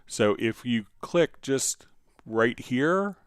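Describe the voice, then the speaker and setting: A man hosting a casual live software tutorial, answering viewer questions in a conversational, step-by-step way. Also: mid-range voice, animated, very slow, smooth, no room sound, studio quality. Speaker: livestream host and software instructor.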